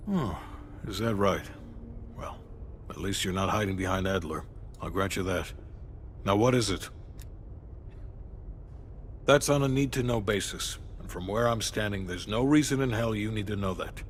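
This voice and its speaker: A man acting as a hard-nosed, doubting intelligence official in a game cutscene. His voice sits on the low side, clipped and sarcastic, with faint ambience behind it. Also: normal speed, pauses often, a little hiss or hum, close to an everyday voice. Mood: stern, sardonic. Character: stern, skeptical, sardonic male intelligence handler. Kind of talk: cinematic game dialogue acting.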